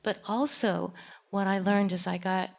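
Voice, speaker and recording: Soft-voiced older woman, slow and gentle. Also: mid-range voice, fairly even intonation, gravelly. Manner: gentle, unhurried. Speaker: poet reading at an event. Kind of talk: poetry reading with spoken introduction.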